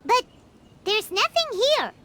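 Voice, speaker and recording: A woman voicing a tiny, excitable, childlike fairy companion in a video game, with a squeaky voice. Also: slow pace, a little hiss or hum, full character voice. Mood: wary. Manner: excitable, speaks of herself in third person. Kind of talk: video game character acting.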